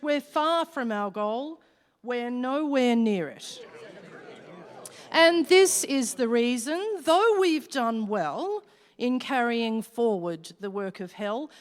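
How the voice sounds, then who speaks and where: A woman playing a wicked female devil who chairs a meeting, formal and declamatory, in a rhymed radio play. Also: a little high. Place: large hall, live stage performance. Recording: reverberant hall recording.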